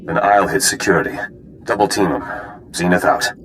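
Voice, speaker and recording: An actor playing a terse, tough fighter in a video game, with clipped, curt delivery. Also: male voice, low-pitched, normal speed, noisy background, lightly acted. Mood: terse focus. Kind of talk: scripted video game acting.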